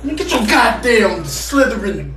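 A man voicing cocky, excitable animals in streetwise slang for a comic viral-clip voice-over. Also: high-pitched, soft background music, heavily acted. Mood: alarmed, indignant. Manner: streetwise slang, drawn-out boasting, exclamations. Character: cocky, excitable animals: dogs, cats, wildlife. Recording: noisy compressed phone-clip audio.

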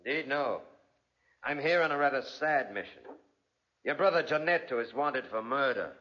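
An actor playing a shrewd, needling country sheriff in a 1940s radio drama, with a knowing, pointed manner. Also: male voice, mid-range voice, lively intonation, moderate pace, bright, forceful tone. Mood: grave, insistent. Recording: dull, band-limited 1940s radio recording.

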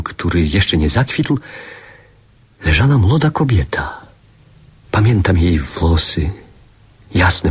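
An actor playing a wistful grown man who narrates memories of his boyhood in a measured, reflective radio drama style. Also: deep voice, husky, exaggerated voice. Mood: grave, haunted.

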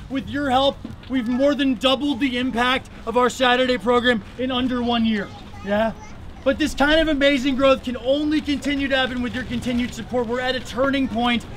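A man's voice: extremely high pitch, fairly bright tone. A man speaking as a food program organizer, making an earnest, rallying fundraising appeal to supporters. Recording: noisy outdoor urban recording.

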